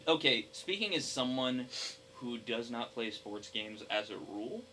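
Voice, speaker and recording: A young man co-hosting a casual gaming discussion, speaking in a hesitant, halting way. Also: medium pitch, animated, bright, forceful tone, slight background noise, rough recording. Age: young adult. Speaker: podcast co-host.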